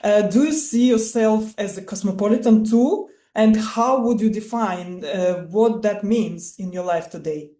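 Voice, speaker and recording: A woman from Ukraine and Russia hosting an interview, asking polite, measured questions with some hesitations. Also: medium pitch, dark tone. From born in Ukraine, grew up in Russia. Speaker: interview host. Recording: echoey room.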